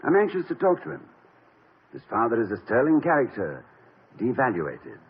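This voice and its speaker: A dignified, wry college president. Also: male voice, mid-range voice, huge pitch swings, breathy edge, exaggerated voice. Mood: dry, wry. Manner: formal, measured, dryly witty. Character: erudite, dignified, wry college president, middle-aged man. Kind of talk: 1950s radio drama acting. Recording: dull lo-fi old radio broadcast.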